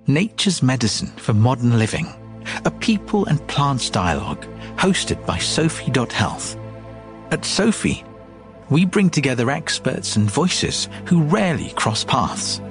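Lively male promo voice over music. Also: mid-range voice, measured pace. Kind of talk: scripted promo narration.

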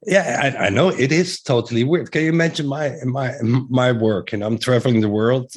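A man's voice: medium pitch, normal speed, slightly rough. A middle-aged man with a strong Dutch accent, a guest talking about his breathing work in an eager, rambling way with many restarts. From Netherlands. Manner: enthusiastic, with frequent restarts and fillers. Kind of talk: casual interview talk.

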